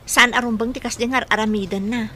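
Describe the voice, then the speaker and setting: A woman's voice: a little high, steady tone, fairly quick, bright, forceful tone, slight background noise. A woman with a Filipino accent playing an outspoken young maiden in a radio drama, forthright and emphatic. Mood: disapproving. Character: outspoken, disapproving young maiden being courted.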